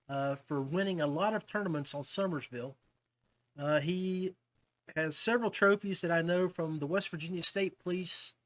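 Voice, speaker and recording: A man's voice: on the high side, fairly bright tone. A man hosting a casual fishing podcast, talking to guests in a chummy way, like old buddies. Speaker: podcast host.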